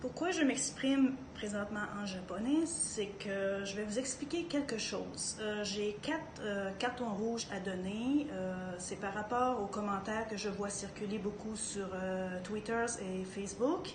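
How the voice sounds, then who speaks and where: A woman's voice: mid-range voice, a little slow, few pauses, roomy sound, noisy background. A woman from Canada with a Canadian French accent, speaking to camera in a casual monologue, hesitant, with many fillers and restarts. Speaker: party member speaking to camera.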